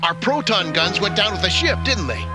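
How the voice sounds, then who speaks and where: A high, swooping voice of an earnest cartoon ghost hunter. He is excitable, and loud soundtrack music sits behind him. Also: moderate pace. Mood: anxious. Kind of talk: animated series dialogue.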